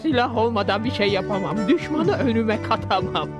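A man's voice: sits high, animated, moderate pace. A man voicing a young dandy poet in a dubbed cartoon, dramatic and lovestruck, declaiming in rhyme. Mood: heroic lovestruck. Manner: declaims in rhyme like reciting poetry. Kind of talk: Turkish-dubbed cartoon acting. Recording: loud music under the voice.

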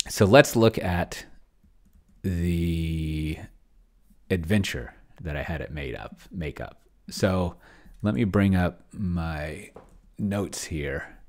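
A middle-aged man hosting a casual screen-share walkthrough, rambling and muttering asides to himself as he thinks aloud. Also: extremely low pitch. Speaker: host of a solo roleplaying demo stream. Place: indoors at a desk, on webcam.

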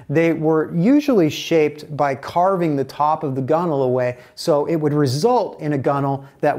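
A man in his forties, a kayak builder explaining his building process to the camera in a relaxed, gesturing way, in a bright indoor workshop. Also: fairly high pitch. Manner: relaxed, animated hand gestures while explaining.

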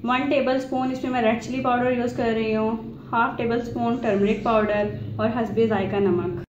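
A woman, the host of a cooking channel, calmly narrating a home recipe step by step. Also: on the high side, moderate pace, muffled recording, noisy background. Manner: plain step-by-step instructions. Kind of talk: recipe voice-over. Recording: loud background music.

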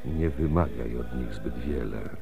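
Very deep, gravelly, calm priest. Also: male voice, music in the background, full character voice. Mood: calm, resigned. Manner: measured, solemn, gentle. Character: calm, fearless, compassionate male priest. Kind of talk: radio drama acting.

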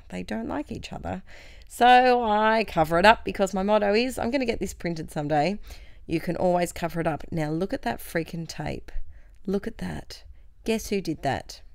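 A young woman with a croaky voice from a cold, chatting cheerfully through a scrapbooking voice-over. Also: on the low side, a little mellow, no echo, clean studio sound. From Australia. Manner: chatty, cheerful, self-mocking. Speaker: craft channel host.

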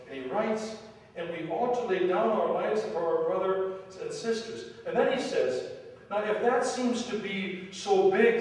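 An older man preaching a sermon as pastor, stressing the scripture passages he quotes and adding wry asides. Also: fairly high pitch. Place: large wooden church sanctuary. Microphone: far camera, room sound. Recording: echoey church hall from far off.

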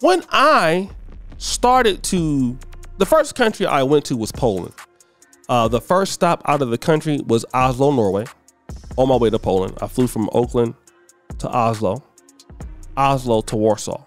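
A middle-aged man hosting a commentary channel, giving a blunt, preachy opinion monologue that climbs in pitch when he gets worked up. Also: animated, unhurried, soft background music.

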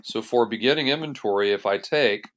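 A man's voice: lowish voice, brisk, a bit bright, muffled recording. A man working as an accounting instructor, narrating a tutorial in a methodical, step-by-step way. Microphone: voice-over on a screen recording.

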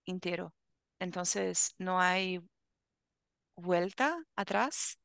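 A young woman teaching an online Spanish class, talking slowly and carefully, with encouraging remarks, over a headset on a video call. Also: lowish voice, gravelly, fairly bright tone, no echo, studio quality. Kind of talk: online language lesson chat.

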